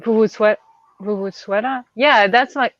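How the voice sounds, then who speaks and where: A woman's voice: a little high, normal speed. A woman from Belgium, chatting casually in English as co-host of a live stream. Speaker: co-host of a book readalong stream. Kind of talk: casual chat. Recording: dull, roomy lo-fi microphone sound.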